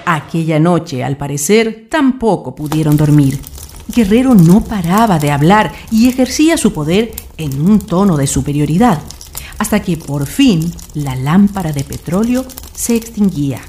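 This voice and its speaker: A woman narrating a scripted radio drama in an expressive storytelling manner. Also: lowish voice, measured pace, slight background noise.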